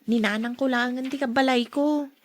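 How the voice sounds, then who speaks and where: A woman's voice: high-pitched, steady tone, moderate pace. A woman acting a polite, modest young working daughter in a radio drama, with an Ilocano accent. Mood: modest, shy.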